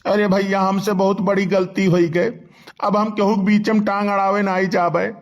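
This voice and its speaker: A man puts on a village fellow from a comedy cartoon, speaking with an Awadhi accent. His voice sits fairly high, and he sounds sheepish and apologetic, forever justifying himself. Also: level tone, normal speed. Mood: sheepish, pleading.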